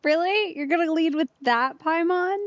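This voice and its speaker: A woman's voice: extremely high pitch, normal speed. A woman giving casual let's play commentary as she streams a story game. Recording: slightly dull, band-limited stream audio.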